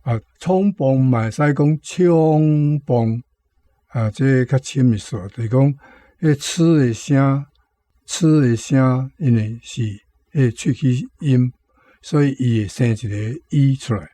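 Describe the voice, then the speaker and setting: A man's voice: medium pitch, slow pace, warm tone, no echo. A man with a Taiwanese accent giving an informal, explanatory language lesson. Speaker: language commentator.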